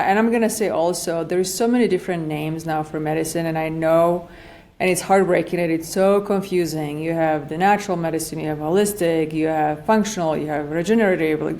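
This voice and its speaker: A woman's voice: on the low side, fairly quick, warm tone. A woman of forty-nine with a Slovak accent, explaining earnestly as an interview guest and repeating phrases for emphasis. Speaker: functional medicine practitioner, podcast guest.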